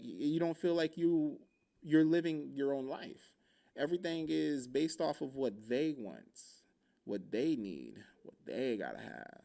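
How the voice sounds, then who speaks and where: A man's voice: mid-range voice, vocal fry, darkish tone. A young man from Oahu telling a casual story, acting out quoted lines in shifting voices. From Hawaii, Oahu. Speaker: podcast host.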